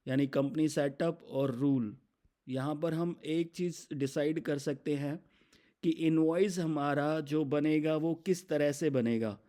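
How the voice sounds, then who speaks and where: Mellow-voiced Indian man giving software tutorial. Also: a little high. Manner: explanatory, instructional, mixes in English terms. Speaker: software demo presenter.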